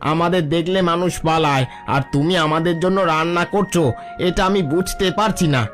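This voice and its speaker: A man as a humble, soft-hearted bandit, highish voice. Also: fairly even intonation, fairly quick, lightly acted. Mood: earnest, amazed. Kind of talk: Bengali cartoon story voice acting. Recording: music playing under the voice.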